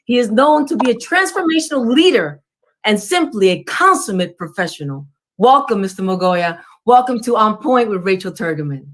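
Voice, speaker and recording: A woman's voice: mid-range voice, very animated, moderate pace. A woman hosting an interview show, effusive and formal, with many compliments and blessings. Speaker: talk show host.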